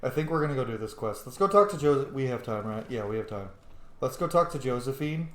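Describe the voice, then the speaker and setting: A man's voice: mid-range voice, lively intonation, fast talker, roomy sound, a little hiss or hum. A man giving casual commentary while playing a game, thinking aloud as he goes. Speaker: gamer narrating a roleplay playthrough.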